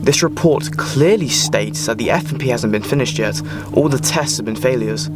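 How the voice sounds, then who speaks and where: A man's voice: medium pitch, normal speed, music in the background, close to an everyday voice. Man as an inquisitive, authoritative time-traveller. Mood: serious, pondering. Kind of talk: audio drama parody acting.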